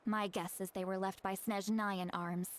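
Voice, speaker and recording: A woman's voice: medium pitch, level tone, measured pace, a little hiss or hum, close to an everyday voice. Actress playing a solemn young shaman woman. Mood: concerned. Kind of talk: scripted video game voice acting.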